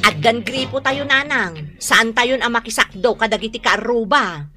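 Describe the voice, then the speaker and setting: A woman's voice: fairly high pitch, animated, normal speed, over loud music, lightly acted. A woman with an Ilocano accent playing a sensible, practical sister who speaks earnestly in a radio drama. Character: sensible sister, practical and protective, advising her family.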